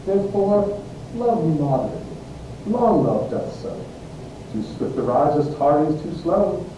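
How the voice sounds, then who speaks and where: A man playing a kindly older friar in an amateur Shakespeare reading, moralising in a measured, declamatory way. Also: animated. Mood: solemn. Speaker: amateur reader, English teacher. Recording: very echoey, distant, noisy with low rumble.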